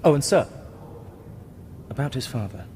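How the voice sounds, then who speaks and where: An actor playing a dutiful, deferential British army captain in a radio drama. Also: male voice, mid-range voice, expressive, normal speed, background noise, natural voice. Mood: deferential, earnest.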